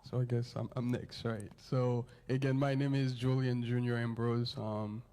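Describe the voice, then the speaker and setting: A man's voice: mid-range voice, little pitch movement, moderate pace, warm tone. A man from Haiti, a youth leader, casually hosting a church Q&A panel with lots of fillers and laughs.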